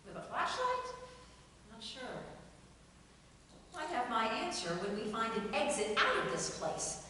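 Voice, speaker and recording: A woman's voice: fairly high pitch, huge pitch swings, a little slow, pushed and bright, heavily acted. A woman acting a wary, sarcastic, protective grandmother at a table reading of a stage play. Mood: guarded, curious. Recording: echoey hall from far off, faint noise.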